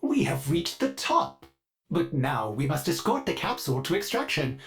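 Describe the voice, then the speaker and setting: A man's voice: fairly high pitch, very animated, moderate pace, faint music behind. An actor playing a polite, fussy male ship AI in a video game who speaks of himself in the third person, heard through a glitchy robotic voice filter. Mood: helpful. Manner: sudden dark glitched outbursts.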